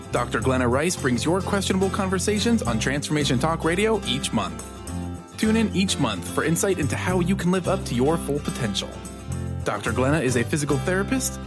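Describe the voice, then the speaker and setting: Guy reading a show promo, highish voice, loud guitar behind. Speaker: ad announcer. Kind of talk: scripted promotional read. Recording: voice over loud guitar music.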